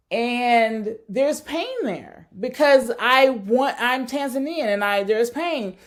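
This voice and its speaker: A woman's voice: on the high side, a little mellow. A woman giving a thoughtful research talk, searching for words and often correcting herself. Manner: thoughtful, searching, many fillers and self-corrections. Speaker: academic speaker.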